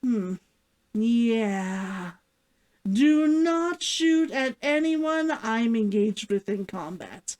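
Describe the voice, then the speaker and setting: Storybook role: gruff, caring male traveler, matter-of-fact, swinging intonation read by a woman. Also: fairly high pitch, lively intonation, very slow, a little mellow. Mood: gruff, blunt. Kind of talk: fiction read-aloud character dialogue.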